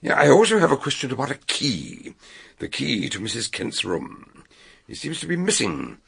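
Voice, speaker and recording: An actor playing an eccentric, genial older English detective doctor in a radio mystery, musing and questioning with deliberate hems. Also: male voice, mid-range voice, huge pitch swings, vocal fry, a bit bright, breathy edge, exaggerated voice. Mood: musing, probing. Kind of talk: British radio mystery drama.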